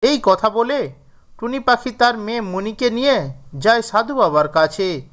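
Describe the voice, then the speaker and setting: A man's voice: high-pitched, expressive, measured pace, fairly bright tone. A man narrating a scripted children's story as the storyteller.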